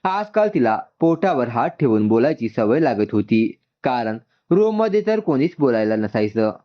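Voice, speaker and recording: A man's voice: mid-range voice, sing-song, normal speed, muffled recording. A man narrating a scripted story as a storyteller, voicing its dialogue along the way.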